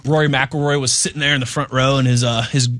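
Fast-talking American sports radio host, man. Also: mid-range voice, fairly even intonation, a bit bright, no room sound. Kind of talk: casual sports talk banter.

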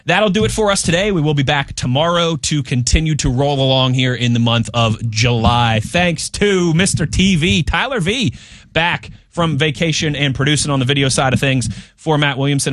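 Man hosting sports radio, slightly high voice, dry sound, chatty, laughs easily. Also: a little fast, a bit bright, no echo. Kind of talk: casual sports talk.

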